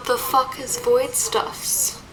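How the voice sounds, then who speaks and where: A woman's voice: fairly high pitch, steady tone, takes it slowly. Woman as sarcastic companion, distant amid crowd. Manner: sarcastic, profane, casual. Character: blunt, sarcastic female traveling companion.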